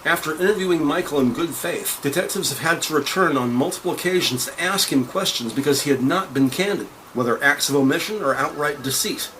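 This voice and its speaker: A man's voice: fairly high pitch, fairly quick, hardly any pauses, noisy background. An American man reading scripted crime-drama narration. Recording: music behind the voice.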